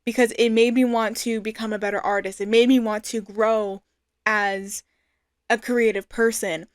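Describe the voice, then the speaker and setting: A woman's voice: fairly high pitch, flat delivery, moderate pace, dry recording, studio quality. A young adult woman giving an informal, earnest and reflective personal testimonial. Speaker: fan artist and creator.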